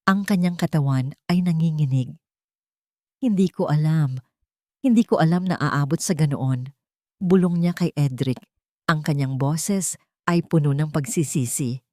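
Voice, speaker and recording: A woman narrating a dramatic audio story in a steady, dramatic reading. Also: sits low, no echo, clean studio sound.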